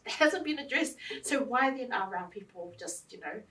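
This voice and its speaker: A woman's voice: on the high side, animated, normal speed, fairly bright tone, recorded from a distance. A woman from New hosting a studio talk, interjecting often and restarting as she builds her points. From New Zealand. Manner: frequent backchannels, restarts when building a point. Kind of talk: studio discussion.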